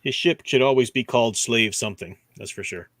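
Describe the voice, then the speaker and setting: Man, livestream host, mid-pitched, casual fan chat, rambling asides, reading comments, dry sound. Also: a little fast, a bit bright, no echo. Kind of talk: casual book discussion, reading viewer comments.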